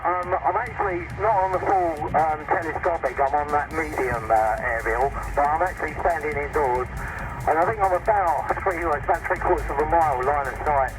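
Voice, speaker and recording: A man talks over a handheld CB radio, his voice a little high. He explains things casually and haltingly, with clear music behind him. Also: low-quality recording. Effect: heard over handheld CB radio. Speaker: CB radio operator.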